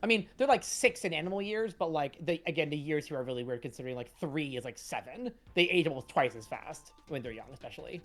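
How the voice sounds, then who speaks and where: Lively low-voiced woman chatting. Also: quick pace, few pauses, soft background music. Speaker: comic creator and stream host. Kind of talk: casual read-along stream chat.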